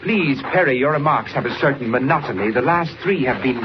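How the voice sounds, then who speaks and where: An actor playing a fussy, self-important newspaper photographer in a 1940s radio serial, precise, pompous and dryly sarcastic. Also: male voice, mid-range voice, lively intonation, fairly quick, close to an everyday voice. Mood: wry, indignant. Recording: muffled, noisy 1940s radio recording.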